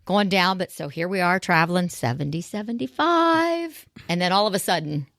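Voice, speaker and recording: Woman, loud voice, lowish pitch, animated storytelling, casual church podcast, dry close sound. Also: normal speed, no room sound. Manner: animated storyteller, acts out quotes. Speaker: podcast co-host.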